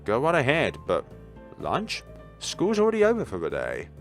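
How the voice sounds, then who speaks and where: A man voicing an awkward, easily flustered male high school transfer student in a visual novel, with a hesitant manner. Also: huge pitch swings, moderate pace, soft background music. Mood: puzzled.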